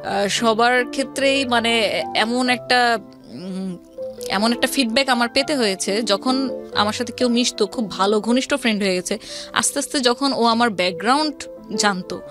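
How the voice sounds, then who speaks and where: A woman's voice: fairly high pitch, normal speed, pauses often. A woman with a Bangladeshi accent telling her personal story conversationally as an interview guest, mixing in English words. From Old Dhaka, Bangladesh. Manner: mixes English words into speech. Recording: music playing behind the voice. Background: background music.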